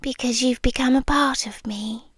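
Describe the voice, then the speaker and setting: A British woman playing a centuries-old, possessively devoted monster girlfriend in an intimate ASMR roleplay, speaking softly and often slipping into breathy whispers. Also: high-pitched, fairly even intonation, moderate pace, no echo. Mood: possessive, tender.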